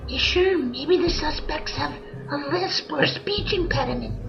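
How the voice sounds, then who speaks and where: Very high-pitched cheeky little monkey. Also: measured pace, gravelly, lo-fi sound, soft background music. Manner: blunt, whiny, interrupting. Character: childish, rude, cheeky talking monkey sidekick. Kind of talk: comic old-time radio drama acting.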